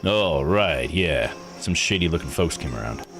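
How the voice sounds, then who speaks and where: Man putting on a deep, gruff old island boss. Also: animated, moderate pace, fairly bright tone, soft background music. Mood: dismissive. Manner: blunt, slangy, domineering. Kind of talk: visual novel read-aloud voice acting.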